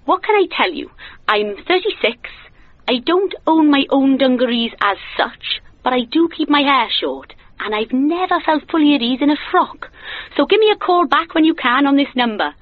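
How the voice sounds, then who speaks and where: A woman's voice: high voice, expressive, moderate pace, dry recording. An actress playing a chatty, guileless woman in her thirties leaving an earnest comic phone message through a telephone filter. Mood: eager, chatty. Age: 30s. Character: chirpy, naively eager woman in her thirties leaving voicemail. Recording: tinny dry phone line.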